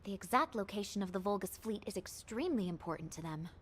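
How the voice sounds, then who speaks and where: A woman's voice: medium pitch, animated, quick pace, slight background noise, natural voice. An actress playing an anxious female executive officer who talks in a nervous, stammering way.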